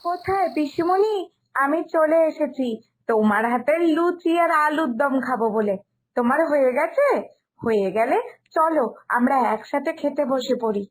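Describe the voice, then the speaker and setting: A woman's voice: high-pitched, expressive, normal speed. A woman voicing a dutiful, considerate young daughter-in-law in a cartoon story, speaking politely and a little hesitantly. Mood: familiar, explaining.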